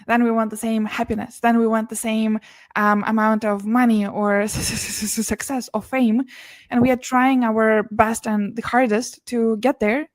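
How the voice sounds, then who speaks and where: A woman's voice: fairly high pitch, little pitch movement, moderate pace, dry recording. A young adult woman from Russia who speaks English as a second language, talking earnestly as a podcast guest with frequent restarts and small laughs. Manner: earnest, many restarts and laughs between words. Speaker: podcast guest, business and mindset mentor. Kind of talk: casual interview conversation.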